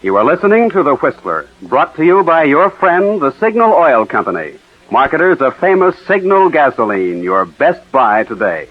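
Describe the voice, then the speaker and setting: A man announcing for a sponsor on 1940s radio. His fairly high voice swings with lively intonation. The recording is thin and tinny. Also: moderate pace.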